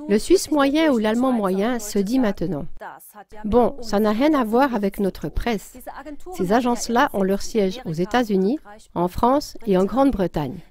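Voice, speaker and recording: A woman's voice: medium pitch, unhurried, darkish tone. A woman reading a scripted news commentary as a voice-over, in an earnest, emphatic tone.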